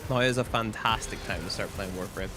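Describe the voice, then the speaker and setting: A man's voice: on the low side, a little fast, music in the background. A man from Northern Ireland with a Northern Irish accent, chatting casually while streaming a game and answering chat.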